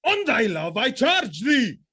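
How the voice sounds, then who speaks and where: Commanding stern general in shakespeare audio play, slow n weighty, voice swings all over. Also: male voice, very high voice, wildly swooping pitch, bright, forceful tone, husky, full character voice. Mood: outraged command. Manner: declamatory, weighty, deliberate. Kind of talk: Shakespearean audio drama acting.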